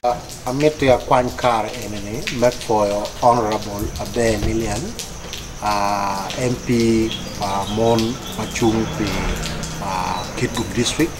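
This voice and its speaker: A man's voice: lowish voice, steady tone. A man in his thirties with a Ugandan accent, giving a hesitant interview statement as a youth chairperson. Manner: hesitant, halting. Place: outdoors before a painted wall. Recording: clear outdoor ambient noise.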